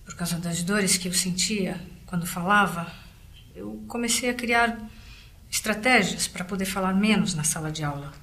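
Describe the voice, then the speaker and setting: Actress as anxious teacher, confiding and worried; roomy, echoing sound. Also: female voice, on the low side, normal speed, a bit bright. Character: anxious female teacher troubled about her voice. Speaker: teacher as a patient. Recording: roomy echo.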